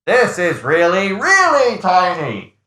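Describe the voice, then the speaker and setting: A man voicing a tiny, squeaky cartoon announcer who is trapped in a miniature world and prone to panic. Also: wildly swooping pitch, slow pace, bright, forceful tone, roomy sound, heavily acted. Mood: tiny, panicked. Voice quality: small, squeaky.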